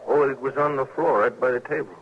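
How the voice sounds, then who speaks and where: A man with a rural American accent playing an earnest, shaken frontier settler in an old-time radio drama, talking in halting, repetitive, folksy phrases. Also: medium pitch, natural voice. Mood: subdued, uneasy. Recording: muffled, faintly noisy vintage radio recording.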